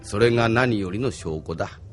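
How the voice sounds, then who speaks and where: A man's voice: on the low side, normal speed, soft background music, natural voice. An actor playing a shrewd, opinionated middle-aged strategist in an anime audio drama, arguing his case with assurance. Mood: assured, critical. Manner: assured, argumentative.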